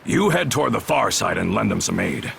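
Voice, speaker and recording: An actor playing a brash, blunt Norman warrior chief in a video game, gravelly and husky, with a gruff, folksy, commanding way of talking. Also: lowish voice, very animated, a little fast, a bit bright, slight background noise, exaggerated voice. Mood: brisk, commanding. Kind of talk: video game cutscene acting.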